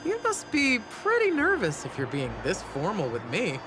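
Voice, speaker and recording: A woman's voice: medium pitch, huge pitch swings, normal speed. A woman playing a self-assured, teasing, perceptive lady in a video game, with a casual, knowing manner. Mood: casual concern. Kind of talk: video game dub acting. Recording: loud music under the voice.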